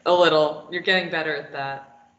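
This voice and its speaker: A woman's voice: low voice, steady tone, normal speed, a bit bright. A woman reading a sardonic, probing young captive at a play table read, teasing and wry. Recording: echoey room, muffled lo-fi.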